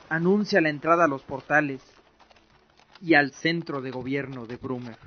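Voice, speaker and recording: A man narrating a scripted fantasy radio drama in a solemn, measured way. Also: fairly high pitch, slight background noise. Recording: dull lo-fi recording with music. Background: music bed.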